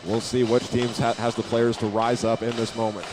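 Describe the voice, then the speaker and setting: A man's voice: medium pitch, flat delivery, moderate pace, over music. A man calling live basketball play-by-play from an indoor arena, occasionally stumbling over or repeating a word. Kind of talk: live sports commentary. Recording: noisy gym ambience behind voice.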